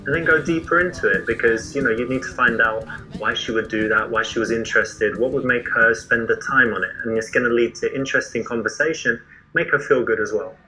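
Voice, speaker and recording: A man's voice: mid-range voice, a little fast, faint music behind, rough recording. Chatty Englishman, faint London accent. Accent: mostly faded London English. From England. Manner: chatty and playful, with relaxed fillers. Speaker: dating coach demonstrating an approach. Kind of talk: casual street conversation.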